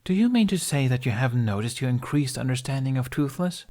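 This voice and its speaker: A man voicing a serene, mysterious woman with a feathery, warm voice and a calm, knowing manner. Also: mid-range voice, fairly quick, pitched above the performer's own voice, natural voice. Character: serene, gently amused mysterious woman in a dream.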